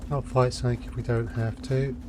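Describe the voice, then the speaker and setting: A man's voice: mid-range voice, little pitch movement, fairly quick, background noise. A man doing casual live commentary as he plays a video game, sometimes reading dialogue choices aloud. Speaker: game streamer. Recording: game music behind the voice. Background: video game music.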